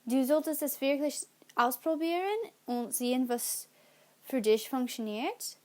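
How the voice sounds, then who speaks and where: A young woman with an English accent talks slowly and haltingly, stopping to fix her words as she goes. Her voice sits a little high. She hosts a study-tips video in a casual, unhurried way. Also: a little mellow. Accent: English-speaking learner of German. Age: young adult. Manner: slow and halting, with pauses and self-corrections. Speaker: student channel host.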